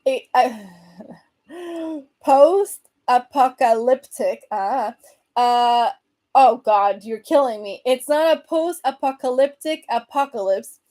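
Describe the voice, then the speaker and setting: A woman's voice: sits high, expressive, takes it slowly, darkish tone. Venezuelan-accented woman chatting with her viewers. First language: Spanish. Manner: chatty, reads comments aloud, frequent fillers and laughs. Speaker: host. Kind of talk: casual livestream chat.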